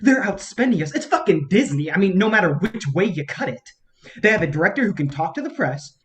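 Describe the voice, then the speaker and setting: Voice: medium pitch, very animated, a little fast, a bit bright. An actor in a staged play reading playing an exasperated, embittered film campaign strategist, profane and sarcastic in manner. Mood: bitter, agitated.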